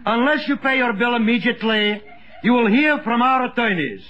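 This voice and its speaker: An actor playing a foreign tavern helper, speaking deliberate, over-formal broken English in 1950s radio comedy style. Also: male voice, very high-pitched, lively intonation, sharp tone, exaggerated voice. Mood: deadpan. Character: slow-talking foreign comic helper at a tavern. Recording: noisy muffled 1950s radio recording.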